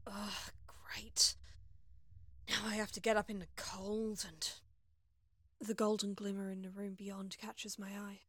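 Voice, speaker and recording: A woman playing a frustrated, sarcastic young spacefaring heroine in an audio drama, expressive as she acts and narrates her own adventure. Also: mid-range voice, no room sound, clean studio sound, natural voice. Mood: uneasy, hushed. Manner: sardonic, expressive. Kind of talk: audio drama acting with first-person narration.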